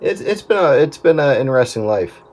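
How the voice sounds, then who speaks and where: A man's voice: on the high side, sing-song, brisk, darkish tone. A middle-aged man chatting casually over a radio link. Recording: noisy radio transmission.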